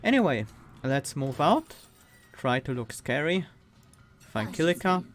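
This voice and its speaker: A man with a Swiss accent, streaming a card game and thinking aloud, reading cards and weighing choices with pauses and fillers. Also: a little high, sing-song, soft background music. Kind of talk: casual gameplay commentary.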